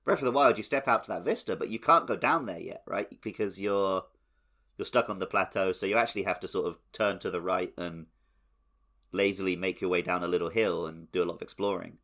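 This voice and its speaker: A man's voice: low voice, a little fast, pushed and bright. A man chatting informally and animatedly as a podcast host. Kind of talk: casual podcast chat.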